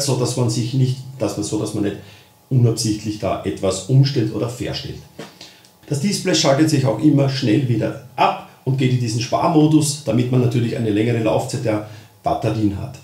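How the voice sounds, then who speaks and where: Male Austrian tutorial presenter, mid-pitched voice, informal step-by-step delivery, roomy echoing sound. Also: mellow. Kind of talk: spoken how-to explanation of a gadget.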